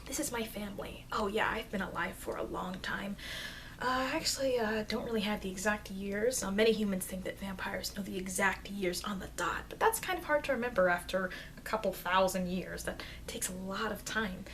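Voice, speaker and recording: A woman's voice: medium pitch, a little fast, a bit bright, close to an everyday voice. A woman playing a friendly, flustered, eager vampire girl in an ASMR roleplay, speaking in an awkward, hesitant, rambling way. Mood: excited, chatty. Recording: noisy amateur microphone, background hiss.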